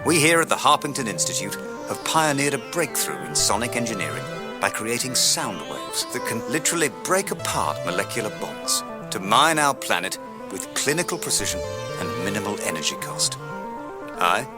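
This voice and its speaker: An actor in a radio drama playing a pompous, vain, snobbish research boss with a sardonic, biting delivery. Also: male voice, lowish voice, sing-song, normal speed, loud music behind the voice. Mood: grand, self-promoting.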